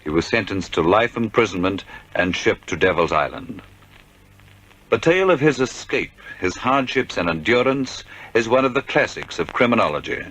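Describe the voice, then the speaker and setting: A man's voice: fairly low pitch, big pitch swings, moderate pace, fairly bright tone, poor audio. A man giving scripted, dramatic narration of a crime story for a radio play.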